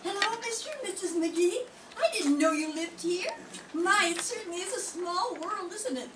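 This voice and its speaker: A woman's voice: very high voice, moderate pace. An older woman playing a chatty, scatterbrained, oblivious lady in a 1940s-style radio comedy, prattling and gushing. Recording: echoey room from far off, noise.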